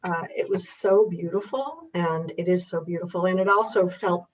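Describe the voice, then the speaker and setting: An older woman with a husky voice, talking reflectively in conversation as a guest about her family's history. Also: on the low side, fairly even intonation, moderate pace. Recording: distant, dull muffled microphone.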